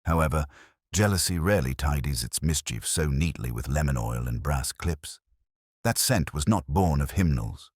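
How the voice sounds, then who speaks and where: A mild, cryptic inspector from a detective story, as a male narrator reads him. His voice is very deep and husky, and he muses formally and without hurry. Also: animated, normal speed, no room sound, clean studio sound, full character voice. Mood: wry, musing.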